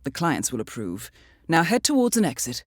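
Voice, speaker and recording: An actress playing a composed, dryly witty intelligence handler in a video game, briefing an assassin in a formal, understated, ironic manner. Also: female voice, low-pitched, huge pitch swings, normal speed, no echo, clean studio sound, full character voice. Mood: composed, businesslike. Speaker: mission handler.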